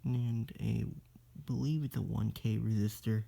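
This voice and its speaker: A person giving a casual hands-on electronics demo in a halting, piecemeal way. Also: mid-range voice, steady tone, vocal fry, mellow. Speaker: demonstrator in a homemade electronics video.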